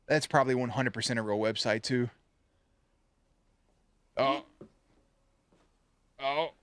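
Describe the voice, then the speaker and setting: A man hosts a reaction video in a casual, playful way. His voice sits at an average pitch, and he talks in loose slang with plenty of swearing and goofy asides. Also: slow, fairly bright tone. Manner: jokey, playful, slangy and profane.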